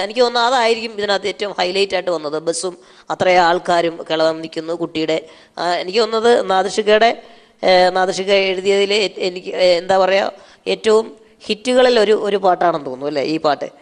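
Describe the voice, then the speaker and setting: A man speaks as a judge on a comedy show. His voice sits at a medium pitch, and he delivers his critique off the cuff, pausing and searching for the next phrase. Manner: halting, searching for words. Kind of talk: unscripted judging feedback.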